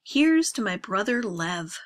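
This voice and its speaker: A woman voicing a bitter young man, the eldest brother, in a sarcastic and loud manner within a story reading. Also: mid-range voice, very animated, takes it slowly.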